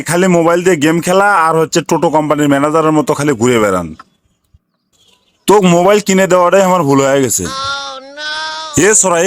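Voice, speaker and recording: A man's voice: on the high side, no echo, lightly acted. A man voicing a middle-aged father who sells bananas, scolding his lazy son in a rustic North Bengal Bangla accent in a cartoon. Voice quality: fast, scolding, rustic dialect. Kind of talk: cartoon dialect comedy acting.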